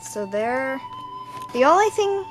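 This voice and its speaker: A young woman with a fairly high voice chats informally as a co-host. She chips in with short, unscripted comments, and music plays clearly behind her. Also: big pitch swings, slow. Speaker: vlog co-host. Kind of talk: casual chat, short interjections. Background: background music.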